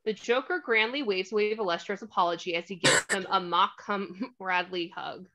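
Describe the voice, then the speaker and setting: A woman's voice: medium pitch, normal speed, pushed and bright, slight background noise. A woman reading scripted stage directions as narrator of a screenplay table read, on a slightly dull microphone.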